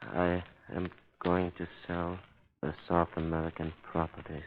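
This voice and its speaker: A man's voice: low-pitched, little pitch movement, a little slow. A man acting a dazed, frightened captive under hypnosis in 1930s radio drama style. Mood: dazed, obedient. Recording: muffled old 1930s radio recording.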